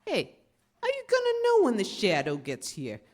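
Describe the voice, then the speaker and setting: A woman playing a jumpy, uneasy male small-time crook, excitable and streetwise, in 1930s radio style. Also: mid-range voice, normal speed. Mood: startled, uneasy.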